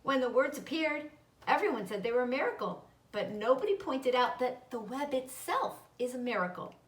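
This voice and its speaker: A woman's voice: sits high, animated, normal speed. A woman reading a children's book, voicing a thoughtful, unworried old male doctor in a musing, reassuring manner. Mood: curious. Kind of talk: children's book read-aloud. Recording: roomy echo, distant microphone.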